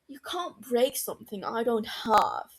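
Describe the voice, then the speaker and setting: A woman's voice: fairly high pitch, very animated, measured pace, husky, heavily acted. A woman voicing a sardonic young male doctor who talks in a dry, sarcastic way.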